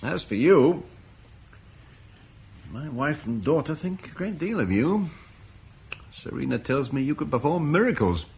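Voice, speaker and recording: A proud, earnest Roman-British landowner, arguing his case with conviction in a radio drama. His husky voice sits mid-range and swings through animated pitch. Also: unhurried, long pauses, heavily acted. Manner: earnest, persuasive. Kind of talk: 1950s radio play acting.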